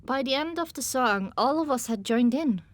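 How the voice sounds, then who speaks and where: Female audiobook narrator, higher register, scripted first-person storytelling, close dry recording. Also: expressive, moderate pace, dry recording.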